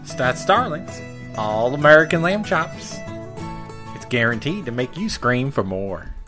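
Radio ad pitchman, man acting folksy farm seller: colloquial, emphatic, very animated pitch, music underneath. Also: measured pace. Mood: folksy salesmanship. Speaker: advertiser. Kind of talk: parody radio commercial. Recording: music behind the voice.

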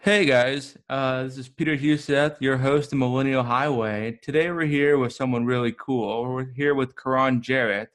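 A man's voice: medium pitch, fairly even intonation, normal speed, few pauses, fairly bright tone. A young man hosting a podcast in an easy, upbeat way. His voice sits in a middle range, and his questions come out loose, with fillers. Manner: casual, upbeat intros with fillers. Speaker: podcast host. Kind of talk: podcast interview.

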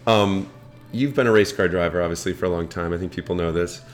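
Lowish-voiced man hosting a chat. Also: quick pace, faint music behind. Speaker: podcast host. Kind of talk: casual interview chat.

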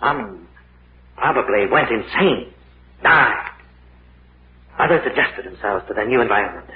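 An actor playing a grave, methodical professor in an old-time radio horror drama, explaining things with deliberate authority. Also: male voice, fairly low pitch, animated. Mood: grave, explanatory. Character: grave, methodical male professor leading a cave expedition. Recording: muffled, echoey old radio recording, faint noise.

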